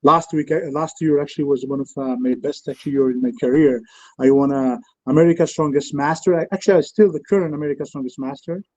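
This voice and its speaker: A middle-aged man from Algeria with a North African French accent, chatting casually as an interview guest in halting, repetitive English. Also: a little high, steady tone, a little mellow. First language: not English. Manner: halting, repeats words and says "you know" constantly. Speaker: guest, strongman athlete and promoter. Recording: dull lo-fi live-stream audio.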